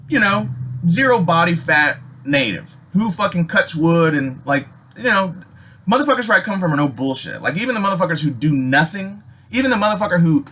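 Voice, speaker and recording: A man's voice: fairly high pitch, sing-song, fairly quick, sharp tone. An American man telling a personal story casually, rambling and emphatic, swearing heavily with abrupt stops and repeats. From Alaska, United States.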